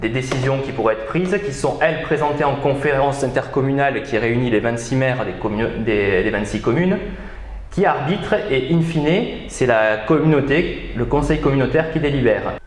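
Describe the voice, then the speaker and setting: A man's voice: medium pitch, moderate pace. Man explaining formally in an echoey room. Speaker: local government official. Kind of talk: explanatory interview answers. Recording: roomy echo, faint background noise.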